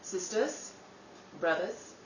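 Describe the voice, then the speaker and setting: A woman playing a wry, measured young Englishwoman in a 1930s-style radio drama. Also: mid-range voice, expressive, slight background noise. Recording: roomy room, distant mic.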